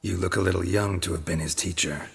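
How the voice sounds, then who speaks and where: An actor playing a terse, world-weary mutant monster hunter in a video game, deadpan and blunt. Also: male voice, sits low, fast, vocal fry, husky, heavily acted. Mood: dry, probing. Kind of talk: video game character acting.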